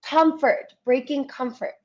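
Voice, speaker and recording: A woman's voice: sits high, fairly even intonation, unhurried, darkish tone, lo-fi sound. A woman in her twenties, a Spanish native speaker, chatting as a podcast guest in a bubbly way, laughing and searching for words. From United States. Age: 20s. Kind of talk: casual chat.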